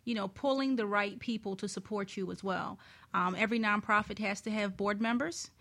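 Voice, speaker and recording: A woman's voice: mid-range voice, brisk, fairly bright tone. A woman speaking as a guest expert, giving conversational advice in a frank, emphatic way.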